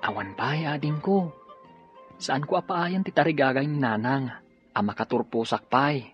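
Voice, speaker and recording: A man acting an earnest young student courting a girl in an Ilocano audio drama, with a husky voice. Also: mid-range voice, lively intonation, moderate pace, lightly acted. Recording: dull lo-fi recording, music behind.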